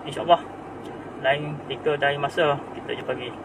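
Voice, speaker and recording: A man's voice: a little high, steady tone, normal speed. A man with a Malaysian accent making casual radio contact as a mobile amateur radio operator in a car. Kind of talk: casual two-way radio chat. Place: inside a car on city roads. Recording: distant in-car audio, loud road noise.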